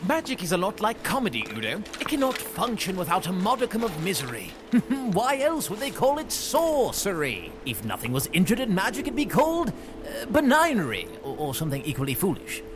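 Pompous eccentric wizard mentor, high bright and swooping, over heavy score. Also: male voice, wildly swooping pitch, brisk. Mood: breezy. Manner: theatrical, pompous, distracted. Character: eccentric flamboyant male wizard mentor, callous and witty. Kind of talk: audio drama acting. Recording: heavy music score behind.

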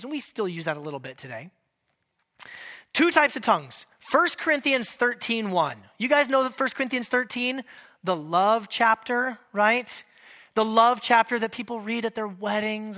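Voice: medium pitch, pushed and bright. A pastor giving a sermon in a conversational way, breaking it up with joking asides.

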